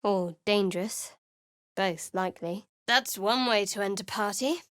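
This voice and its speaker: A woman's voice: mid-range voice, unhurried, no room sound, close to an everyday voice. A woman voicing a guarded, sardonic young woman cleric in a studio game, speaking in a dry, curt, secretive way. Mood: wry. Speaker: game character.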